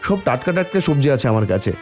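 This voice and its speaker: A man playing a kind, humble village vegetable seller and father in an animated story, speaking in an earnest, pleading way. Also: medium pitch, fast talker, music in the background, lightly acted. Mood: friendly concern. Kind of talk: animated Bengali moral story dialogue.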